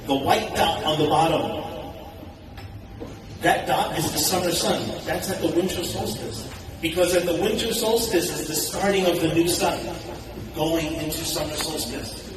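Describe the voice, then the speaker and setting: A man giving an enthusiastic, conversational conference speech, often posing questions to the audience. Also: on the high side. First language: English. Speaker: conference speaker. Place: large hall. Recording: echoey hall recorded from a distance, audible noise.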